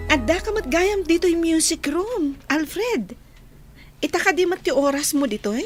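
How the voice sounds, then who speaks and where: An actress with an Ilocano Filipino accent playing a sweet young trainee shyly in love in a radio drama. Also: female voice, very high voice, expressive, unhurried, soft background music. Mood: playful.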